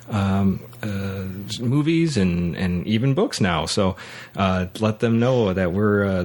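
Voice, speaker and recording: Low-pitched male podcast host. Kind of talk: casual chat.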